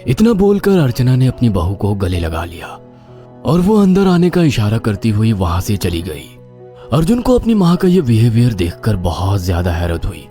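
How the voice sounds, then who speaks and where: Animated male horror story narrator. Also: lowish voice, normal speed, faint music behind.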